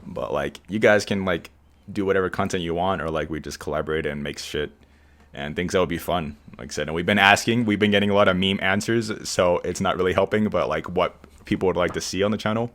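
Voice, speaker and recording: A man hosting a casual podcast chat, who edits the show, rambling along and describing his own voice as monotone. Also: sits low, fast. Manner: relaxed, rambling, says he has a monotone voice. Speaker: podcast host and editor.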